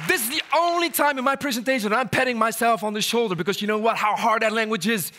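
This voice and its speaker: A man speaking on stage with a high voice and a bright, forceful tone. He gives an animated motivational talk and banters with the audience. He switches into French and Arabic mid-talk. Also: fast talker, some chatter behind. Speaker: keynote speaker. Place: on stage before a live audience.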